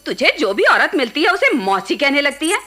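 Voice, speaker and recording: An actress playing a bossy, scolding middle-aged aunt in a radio play, with a nagging, commanding manner. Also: female voice, high-pitched, very animated, moderate pace, pushed and bright, heavily acted. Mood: bossy, stern. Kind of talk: Hindi radio play acting.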